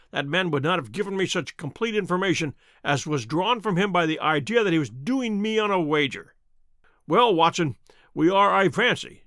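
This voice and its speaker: A man voicing a shrewd, self-assured gentleman detective in an audiobook, courteous and precise in manner. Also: high voice, no echo, studio quality. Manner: bland, courteous, confident, precise.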